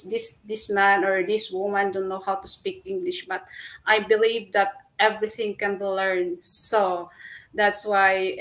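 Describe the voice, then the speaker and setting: A middle-aged woman from the Philippines with a Filipino accent, speaking English as a second language as an interview guest, halting, repeating words and laughing often. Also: mid-range voice, a bit bright, poor audio. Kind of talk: personal story interview.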